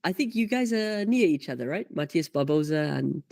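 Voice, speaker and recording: A man's voice: a little high, animated, moderate pace, creaky, darkish tone, dry recording, clean studio sound. A man hosting a live karting coaching stream, chatty and full of fillers as he reads out comments. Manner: chatty, many fillers and restarts.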